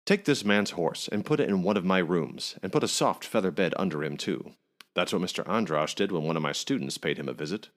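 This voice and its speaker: A man voicing a jovial king in a folk tale, giving brisk regal orders with a playful, teasing edge. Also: deep voice, expressive. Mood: commanding. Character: jovial, commanding male king, teasing and sly. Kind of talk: folk tale storybook reading.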